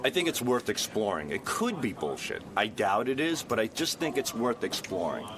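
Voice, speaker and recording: A man's voice: on the low side, sing-song, normal speed, noisy background. A man with a New York accent talking off the cuff in an earnest, rambling way as a radio show regular. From Long Island, New York. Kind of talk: unscripted conversation.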